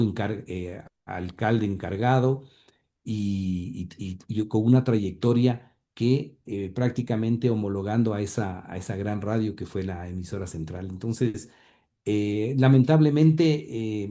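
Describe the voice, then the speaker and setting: A man's voice: on the low side, steady tone, measured pace, warm tone. A man telling family history in an interview, hesitating often with fillers and repeated words. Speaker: interview guest, grandson of the radio pioneer. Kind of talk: conversational storytelling interview.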